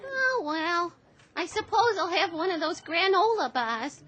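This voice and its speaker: An actress playing a wry, dryly sarcastic office worker in a radio drama. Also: female voice, extremely high pitch, expressive, unhurried, fairly bright tone, lo-fi sound, full character voice. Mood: disappointed. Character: wry female office worker on coffee break.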